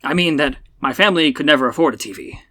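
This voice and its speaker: A man's voice: a little high, fast talker, pushed and bright, no room sound. A man as Buck, a plain-spoken spacefarer, dry recording. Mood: earnest. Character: Buck, blunt, naive young spacefarer from poor family.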